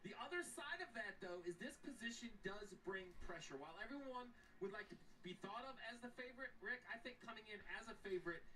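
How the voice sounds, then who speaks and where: A man's voice: high-pitched, animated, fast talker, few pauses, a little hiss or hum. A man giving race analysis on a motorsport broadcast, explaining the standings in a thoughtful way. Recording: distant TV audio under loud music.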